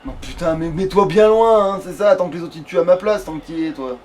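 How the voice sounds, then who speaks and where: A man's voice: on the high side, racing. A man doing casual, sweary let's play commentary, grumbling at the game as he plays. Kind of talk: casual gaming commentary with banter. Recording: clearly noisy home game recording.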